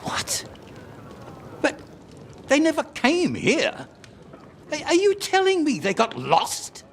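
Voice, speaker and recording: An actor playing a bossy, fretful lord of the manor, imperious and excitable, in a video game with music under him. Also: male voice, very high-pitched, slow pace, creaky, a little hiss or hum, heavily acted. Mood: alarmed. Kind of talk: video game dialogue acting.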